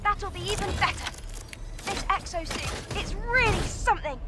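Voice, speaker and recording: An actress playing a young, loyal, eager girlish sidekick in a video game, earnest and expressive, slightly distant under music. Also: very high voice, moderate pace, very noisy. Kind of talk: dramatic video game acting. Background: prominent music.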